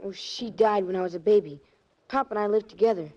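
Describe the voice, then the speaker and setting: A woman's voice: mid-range voice, fairly quick, dark tone, natural voice. A woman playing a plucky, trusting young boy in a 1940s radio drama, talking in earnest, streetwise slang. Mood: earnest, insistent. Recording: muffled vintage 1940s radio recording.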